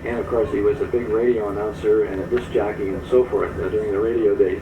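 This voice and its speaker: A man's voice: on the low side, fairly even intonation, fast talker, far from the mic, narrow, tinny audio. An older man hosting a live radio show in a casual, folksy, appreciative and conversational way. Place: open back platform of train car. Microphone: broadcast microphone. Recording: echoey outdoor broadcast, train noise. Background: train and railway noise.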